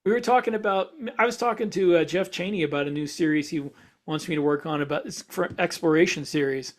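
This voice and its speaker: A man's voice: fairly high pitch, quick pace. A man talking casually as a podcast host, explaining ideas and thinking aloud as he goes. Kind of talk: casual discussion.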